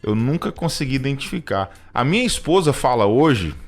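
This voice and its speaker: A Brazilian man in his thirties giving personal testimony in conversational talk, reflective and halting, often repeating words as he goes. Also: medium pitch, big pitch swings. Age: 30s. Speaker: radio show co-host.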